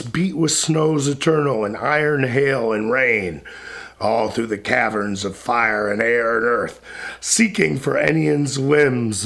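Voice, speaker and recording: A man giving a solemn, dramatic recitation of epic poetry in a grave, declamatory manner with deliberate pauses between phrases. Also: medium pitch, gravelly, fairly bright tone.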